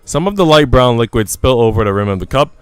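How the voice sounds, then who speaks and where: A man's voice: medium pitch, lively intonation, fast, clean tone, no echo, soft background music. A man live streaming, switching between casual slangy chat and reading visual novel narration aloud. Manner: slangy, informal.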